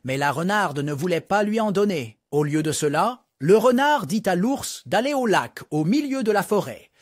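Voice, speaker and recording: A man narrating a bedtime story for young children in an animated, sing-song way. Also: high voice, no echo, studio quality.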